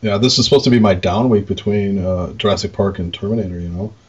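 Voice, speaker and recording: A man co-hosting a podcast in casual chat, informal and halting, often trailing off. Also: fairly low pitch, fairly even intonation, a little mellow, husky, highs cut off. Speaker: podcast co-host, arcade operator.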